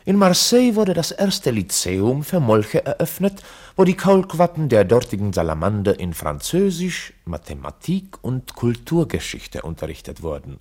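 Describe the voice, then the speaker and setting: An actor playing a chronicler, narrating a mock history in a formal, matter-of-fact way for a radio play. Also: male voice, medium pitch, background noise.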